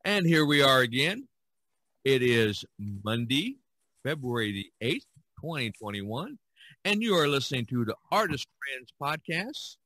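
Bright-voiced American man hosting a podcast. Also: medium pitch, sing-song, unhurried, no echo, low-quality recording. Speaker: podcast host. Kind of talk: casual podcast chat.

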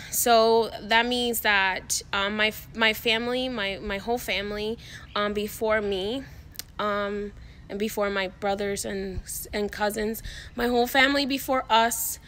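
A woman's voice: fairly high pitch, a bit bright. A young woman, talking casually to her phone camera outdoors, rambling with lots of fillers and restarts. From Dominican Republic. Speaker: spiritual coach vlogger. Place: backyard outdoors. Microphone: phone at arm's length.

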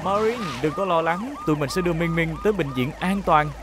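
An actor playing a friendly, steady police car rescue robot in a children's cartoon dub, earnest and reassuring. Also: medium pitch, brisk, heavy background noise, lightly acted. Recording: loud music behind. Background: loud music.

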